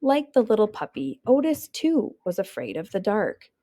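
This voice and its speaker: Lively woman reading a storybook aloud. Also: mid-range voice, moderate pace. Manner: expressive, acting out sounds and exclamations. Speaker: teacher reading a picture book aloud. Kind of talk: children's storybook read-aloud narration.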